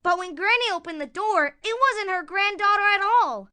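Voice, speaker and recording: High, bright woman storyteller. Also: expressive, normal speed, a little hiss or hum. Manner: dramatic storytelling. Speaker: narrator. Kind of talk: scripted children's story narration.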